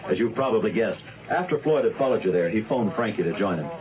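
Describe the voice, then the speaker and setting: An actor playing a matter-of-fact police officer in a radio drama, explaining things in a friendly way. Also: male voice, on the low side, lively intonation, fast talker, crowd noise, natural voice. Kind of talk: 1970s radio drama acting. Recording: dull radio drama recording.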